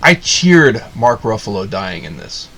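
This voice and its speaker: A man's voice: fairly low pitch, big pitch swings, unhurried, bright, forceful tone. A man giving a casual, sarcastic rant of a review, rambling with lots of restarts. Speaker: video reviewer.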